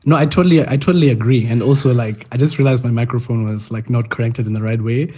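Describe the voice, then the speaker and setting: A young man from Kenya chatting casually as a podcast host, relaxed and full of fillers. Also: mid-range voice, quick pace, slightly rough. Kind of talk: casual conversation.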